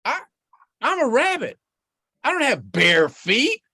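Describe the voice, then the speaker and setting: A storyteller voicing a chatty, hungry, gullible male rabbit in a spoken folktale, in a high pleading voice that rambles on. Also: wildly swooping pitch, slow pace, pushed and bright, slightly rough, no echo, studio quality, exaggerated voice. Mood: fretful. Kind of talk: oral folktale telling.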